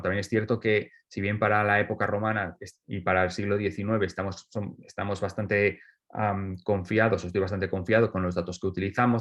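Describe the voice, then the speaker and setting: A man with a fairly low voice gives a seminar talk over a video call. He stops and restarts constantly, filling gaps with hesitations. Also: fairly bright tone, muffled recording. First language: Spanish. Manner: halting, many restarts and fillers. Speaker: seminar presenter. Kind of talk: academic online presentation.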